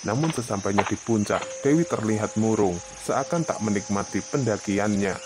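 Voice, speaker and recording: A man with an Indonesian accent reading scripted narration for an animated story. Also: fairly low pitch, moderate pace, background noise.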